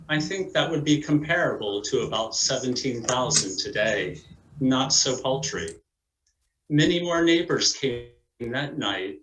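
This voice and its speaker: A man's voice: mid-range voice, background noise. A man reading scripted narration as the narrator of a stage play. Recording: roomy echo.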